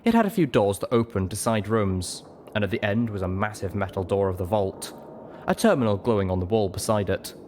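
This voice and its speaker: Male story narrator, lowish register, highly animated first-person read, slight background noise. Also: brisk. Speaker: audiobook reader.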